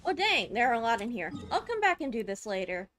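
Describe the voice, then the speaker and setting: High-voiced woman casually playing a game. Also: sing-song, fairly quick. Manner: informal, swearing, thinks out loud. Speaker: gaming streamer. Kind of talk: casual gameplay reaction commentary. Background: video game music.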